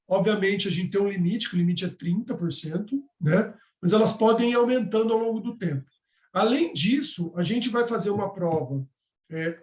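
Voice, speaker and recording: A man's voice: high voice, a little mellow, reverberant. A man teaching a live online class, explaining step by step and checking in with his listeners. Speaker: course instructor.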